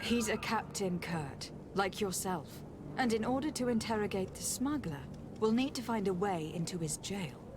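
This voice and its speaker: A woman's voice: mid-range voice, lively intonation, normal speed, noisy background, close to an everyday voice. An actress playing a courteous, determined noblewoman envoy in a video game, speaking politely and formally. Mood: composed, determined. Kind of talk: video game cutscene acting. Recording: music playing behind the voice.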